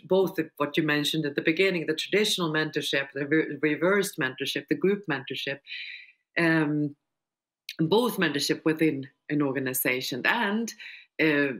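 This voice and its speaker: An older woman speaking on a video panel in a measured, halting, explanatory way. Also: low-pitched, a bit bright. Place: small furnished room indoors. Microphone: video call.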